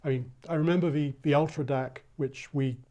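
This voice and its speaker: A man with a fairly high, mellow voice asks careful questions in a calm, measured way. He draws out his guest with brief murmurs of agreement. It was recorded in a listening room. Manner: measured, prompting with short affirmations. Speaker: magazine editor, interviewer. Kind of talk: interview questions.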